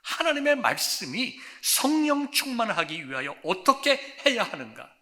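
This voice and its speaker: A male pastor preaching a sermon, moving from hushed, almost whispered phrases to loud, emphatic declarations and stretching out key words. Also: high voice, very animated, normal speed. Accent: Korean.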